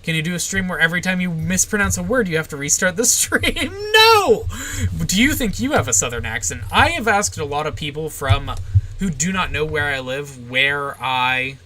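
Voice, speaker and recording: A young woman with a general American accent, streaming live and reading viewer questions aloud. She gives short, deadpan answers with plenty of "uh" and "like". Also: deep voice, moderate pace, low-quality recording. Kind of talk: casual livestream Q&A, answering chat.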